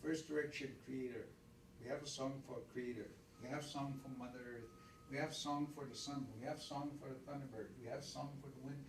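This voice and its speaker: A man's voice: medium pitch, steady tone, echoey room. Stoney-speaking male elder teaching, repeats and restarts, recorded far off in a faintly noisy room. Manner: repeats words, counts aloud, halting restarts. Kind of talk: informal spoken teaching.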